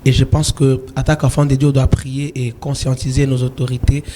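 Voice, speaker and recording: A man from eastern DR Congo, a gospel singer, answering interview questions calmly with hesitant restarts. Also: medium pitch, a little fast, noisy background. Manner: calm, hesitant with repeated restarts.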